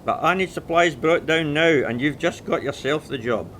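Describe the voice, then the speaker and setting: An actor playing a bluff, no-nonsense quartermaster sergeant, loud and authoritative, in a war audio drama. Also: male voice, fairly high pitch, moderate pace, a little hiss or hum, natural voice, low-quality recording. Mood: bluff, commanding.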